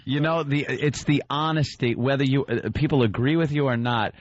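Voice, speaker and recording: A man's voice: mid-range voice, fairly even intonation, normal speed, dry recording, dull audio. An American man hosting a casual talk radio interview, informal, agreeable and joking. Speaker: radio show host.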